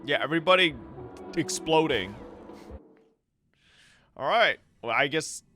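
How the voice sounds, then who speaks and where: A woman's voice: low voice, animated, a little slow, fairly bright tone, slight background noise. A woman streamer giving casual, blunt, incredulous and sweary reaction commentary while a game plays. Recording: game music faintly behind.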